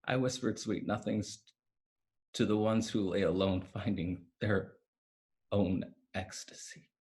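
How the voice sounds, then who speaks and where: Man playing a slow, wistful fallen fairy. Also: lowish voice, a little mellow. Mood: wistful, tender. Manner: slow, lyrical, deliberate delivery. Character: fallen winged healing fairy, tender, wistful, insistent. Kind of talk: staged play reading, dramatic monologue. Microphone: video call. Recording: remote video-call recording.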